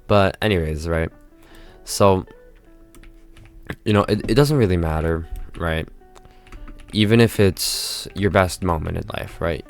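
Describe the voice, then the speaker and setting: A congested man speaking casually to his viewers in a rambling monologue, tagging nearly every phrase with "right?". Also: deep voice. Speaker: vlogger.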